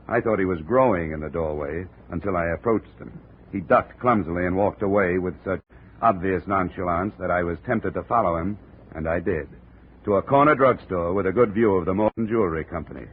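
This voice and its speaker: An actor playing a wry, hard-boiled insurance investigator who narrates a 1950s radio drama in dry, sardonic first person. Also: male voice, low-pitched, brisk, close to an everyday voice. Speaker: narrator and lead. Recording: tinny old radio broadcast, faint hiss.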